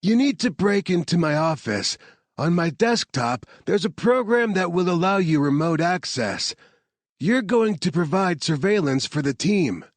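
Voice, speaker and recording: A man's voice: high-pitched, animated, unhurried, no room sound, pitched above the performer's own voice. A man voicing a frustrated young tech guy.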